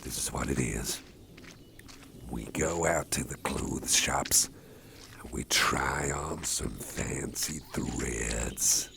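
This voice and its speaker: A man doing a gravelly giant boss. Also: slow, noisy background, heavily acted. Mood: easygoing. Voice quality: deep gravelly voice. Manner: rambling, chatty, chuckles to himself. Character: huge intimidating male boss, gruff but protective. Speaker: boss character carrying the listener. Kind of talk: ASMR roleplay, personal attention.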